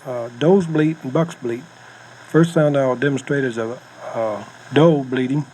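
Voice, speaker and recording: A man's voice: mid-range voice, steady tone, unhurried, darkish tone. An American man giving informal hunting instruction on a cassette tape, with plainspoken, folksy phrasing. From United States. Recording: noisy home-style cassette tape recording.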